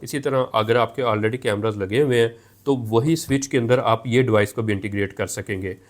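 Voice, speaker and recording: A man's voice: mid-range voice, fairly quick, a little hiss or hum. A man narrating a hands-on technical tutorial, explaining and rephrasing each step as he goes. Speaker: tech tutorial presenter.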